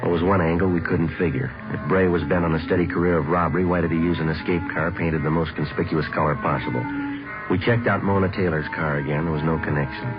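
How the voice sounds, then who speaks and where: An actor with an American accent playing a terse police detective who narrates in a clipped, deadpan way in an old radio drama. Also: male voice, very deep voice, fast. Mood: deadpan, factual. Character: terse, businesslike male police sergeant detective. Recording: dull old radio recording, music behind.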